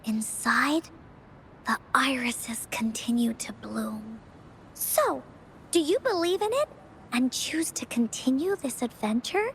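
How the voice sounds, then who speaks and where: A woman voicing a spirited, imaginative little girl who tells adventure stories theatrically in a video game, with deliberate dramatic pauses. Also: high-pitched, animated, slight background noise, heavily acted, poor audio. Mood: hopeful, encouraging.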